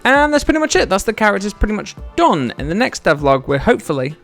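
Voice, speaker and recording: A man's voice: high-pitched, sing-song, fast, a bit bright, faint music behind. A man narrating a casual devlog, explaining things step by step in an informal, chatty way. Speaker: solo game developer and devlog host.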